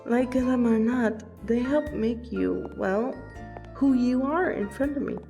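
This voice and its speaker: A fairly high-voiced vampire woman played by an actress talks to her close friend in an audio roleplay. She is teasing and affectionate, with an unhurried delivery and dramatic pauses. Soft music plays behind her. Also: vocal fry. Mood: wistful, tender.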